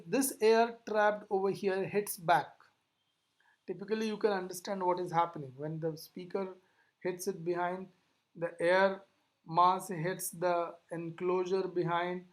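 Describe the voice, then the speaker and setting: Explanatory physics lecturer with a didactic delivery. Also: medium pitch. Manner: didactic, repeats and rephrases key points. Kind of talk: explanatory classroom-style lecture.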